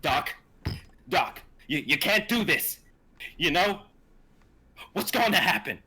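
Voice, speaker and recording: A man playing a desperate, hot-tempered lab assistant turned thief in a live drama reading, urgent and husky. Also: sits high, sing-song, slow, bright, forceful tone, a little hiss or hum, heavily acted. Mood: pleading, panicked. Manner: urgent, emphatic.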